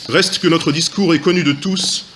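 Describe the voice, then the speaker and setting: A man's voice: a little high, steady tone, moderate pace. A man, an opposition councillor, delivering a prepared political speech in formal, literary phrasing. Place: council meeting room. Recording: faint background noise, clicks.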